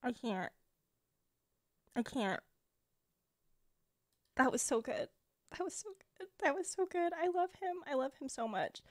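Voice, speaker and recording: A young woman with a very high voice gushes through a casual game reaction. She sounds emotional and exclamatory, with lively swings in pitch, recorded dry with light music underneath. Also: a little slow, pauses often, no echo. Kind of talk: excited casual reaction commentary. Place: small room indoors at home. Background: game trailer music.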